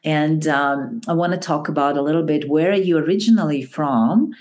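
A woman's voice: sits low, moderate pace. A woman hosting an interview podcast, affirming her guest and asking long questions. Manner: warmly affirming, repeats praise. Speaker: podcast host, network founder.

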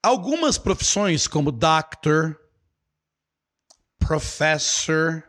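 A man with a Brazilian Portuguese accent runs a live English lesson, explaining with playful imitations. His voice sits a little high and he talks in an animated, friendly-teacher way while answering viewers' comments. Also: drawn-out words.

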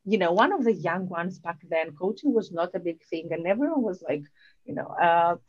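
A woman's voice: on the low side, animated, normal speed, lo-fi sound. A woman with a Southern European accent, a non-native English speaker, talking as a coach in a conversational podcast interview. She explains things step by step, often posing questions and answering them herself. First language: not English. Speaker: guest, leadership coach.

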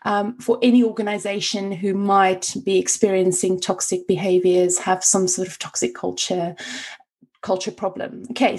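A woman whose first language is Afrikaans, hosting an interview and setting up questions for her guest. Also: medium pitch. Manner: warm praise, framing questions with caveats. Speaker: interview host.

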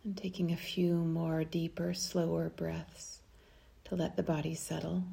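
A woman leading a guided meditation in a soft, soothing, unhurried way, at times breathy, with long pauses. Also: low voice, little pitch movement.

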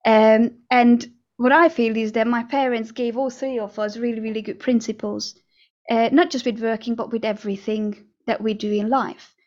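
Woman, interview guest, earnest, fillers, fairly high voice, muffled lo-fi audio. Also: fairly even intonation, normal speed. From Hungary. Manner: earnest, with frequent fillers and soft laughs. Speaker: guest, NLP trainer and hypnotherapist. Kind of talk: casual interview storytelling.

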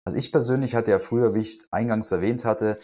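A man with a somewhat low, husky voice talks in an earnest, explanatory way. He sounds like a health coach sharing what works for him, enthusiastic about the topic in a relaxed podcast chat. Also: fast. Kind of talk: podcast conversation.

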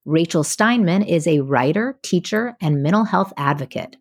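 Woman podcast host, slightly low, dry sound. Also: animated, moderate pace, husky, no echo. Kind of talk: scripted podcast intro and interviewing.

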